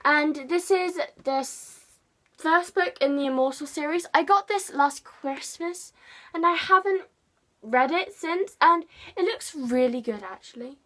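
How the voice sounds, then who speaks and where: Brief: English woman, book vlogger, bright high voice, rambly and self-mocking. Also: measured pace. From England. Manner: chatty, rambling, self-deprecating, playful. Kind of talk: casual chat to camera.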